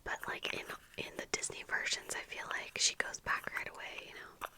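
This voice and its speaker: A woman in her thirties speaking in a breathy whisper, chatting casually and haltingly in ASMR style close to the mic. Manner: casual, halting, meandering storytelling. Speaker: ASMR video creator. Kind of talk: whispered ASMR get-ready-with-me chat. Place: small indoor room with bookshelves. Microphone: close furry-covered microphone on desk, earphones in.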